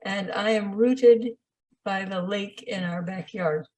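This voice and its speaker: Woman from New York, mid pitch, calm, slow self-intro. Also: rough recording. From Ithaca, New York, United States. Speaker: meeting participant.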